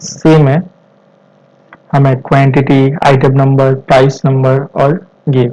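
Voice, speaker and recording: A young Indian man gives a step-by-step tutorial at a slow pace, pausing between short phrases. His accent is Indian and he drops English terms into his explanation. The recording is dull and lo-fi. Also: medium pitch, completely flat delivery, mellow. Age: 20s. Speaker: programming instructor.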